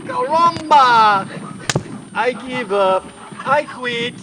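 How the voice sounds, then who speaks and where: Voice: sits high, expressive, extremely slow, exaggerated voice. An actor playing a blustering henchman villain in an old-time radio serial. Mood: beaten. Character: defiant Spanish-speaking henchman villain, easily cowed. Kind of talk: 1940s radio acting. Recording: noisy live stage performance.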